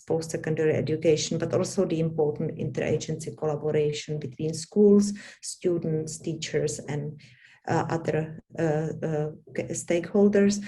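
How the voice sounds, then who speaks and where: A woman with a Czech accent giving a panel presentation, halting often with fillers and restarts. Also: sits low, mellow. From Czech Republic. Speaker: academic panelist.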